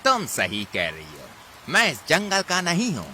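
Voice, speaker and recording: Insolent male cartoon wolf played by a man: very animated, slightly high, curt and defiant. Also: a little slow, a little hiss or hum, heavily acted. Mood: guarded. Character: shifty, insolent male wolf from another forest. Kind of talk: Hindi children's cartoon dubbing.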